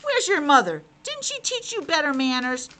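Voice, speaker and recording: A woman's voice: high voice, very animated, moderate pace, sharp tone, slight background noise, voice pushed up, heavily acted. A woman voicing a grumpy, indignant frog in a children's storybook, scolding and blustering.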